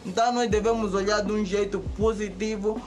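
A young man with a Mozambican Portuguese accent talks casually to the camera for his channel, animated and gesturing with both hands, recorded close up indoors by a bare concrete wall. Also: high-pitched, fairly quick. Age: young adult. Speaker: YouTuber.

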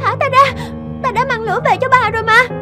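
Woman as a very high-voiced, devoted young heroine. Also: fairly even intonation, fast, sharp tone, soft background music. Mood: anxious. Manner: earnest, plaintive. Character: devoted, anxious young fairy-tale heroine. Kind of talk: cartoon fairy-tale dub.

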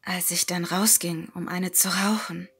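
A woman narrating a first-person scary story in a hushed, unhurried, dramatic manner, with music behind her. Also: fairly low pitch, fairly even intonation, husky. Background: background music.

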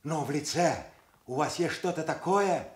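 A man acting a plain, wry middle-aged would-be servant in a theatrical radio play, speaking deliberately. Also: on the high side, echoey room, lightly acted. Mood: wry, earnest. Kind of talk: theatrical radio stage drama.

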